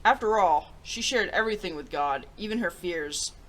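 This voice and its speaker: A woman's voice: lowish voice, lively intonation, moderate pace, fairly bright tone, a little hiss or hum, rough recording. A woman hosting a children's story-time show, reading picture books and reviewing them in an enthusiastic, theatrical presenter's manner. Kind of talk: picture book read-aloud and upbeat review.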